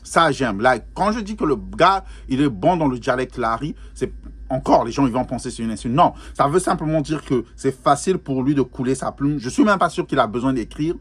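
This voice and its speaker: A man's voice: mid-range voice, husky. A man hosting a music reaction video in a casual, chatty way, repeating his phrases, slipping English words into his talk and laughing often. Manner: laughs often while talking. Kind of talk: casual spoken reaction commentary.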